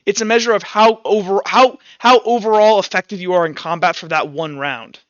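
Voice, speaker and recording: A man with a bright, high voice runs a tabletop game as game master. He talks through rules and describes the action in a halting, self-correcting flow. The sound is dry, with the slightly dulled quality of an online voice chat. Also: clear, resonant tone, no echo, muffled recording. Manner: halting, repeats and restarts phrases. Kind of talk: improvised tabletop game narration and rules talk. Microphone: voice call over Discord.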